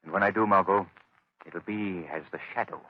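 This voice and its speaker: An actor playing a calm, commanding gentleman crime-fighter in 1930s radio drama style, speaking gravely and deliberately. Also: low voice. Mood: grim, resolute. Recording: muffled 1930s radio broadcast recording.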